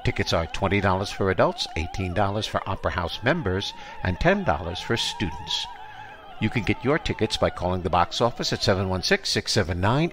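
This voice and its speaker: An older man hosting an arts podcast, moving between interview conversation and scripted announcements. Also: fairly low pitch. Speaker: podcast host.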